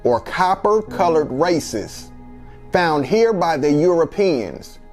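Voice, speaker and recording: Slow, deliberate male explainer. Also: fairly high pitch, very animated, darkish tone, faint music behind.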